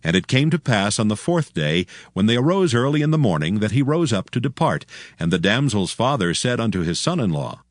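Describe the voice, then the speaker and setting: Deep-voiced man narrating scripture. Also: sing-song, fairly quick, no echo, clean studio sound.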